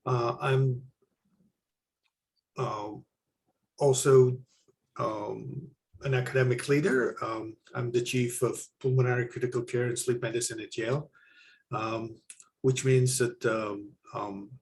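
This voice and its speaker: A man from Israel, a lung doctor, answering interview questions in a halting way with many pauses. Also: mid-range voice, a little hiss or hum. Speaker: pulmonologist guest.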